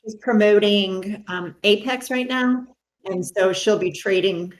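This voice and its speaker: A woman's voice: medium pitch, unhurried, poor audio. A woman trader chatting casually with a host, laughing easily. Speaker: guest trader. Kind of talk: casual chat.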